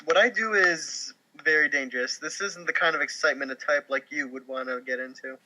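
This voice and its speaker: Loud, self-important male mobster read by a man for a comedy spoof, highish pitch, sharp and forceful. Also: a little fast, rough recording. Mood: cocky. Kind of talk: casual comedy table read.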